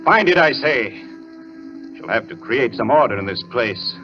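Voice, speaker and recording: Crazy imperious surgeon in old radio thriller, grand and menacing, wild pitch swings, muffled sound. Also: male voice, expressive, unhurried. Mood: frantic command. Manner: theatrical, grandiose, formal diction. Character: deranged male asylum inmate playing surgeon, menacing and imperious. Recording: muffled old radio broadcast, music behind.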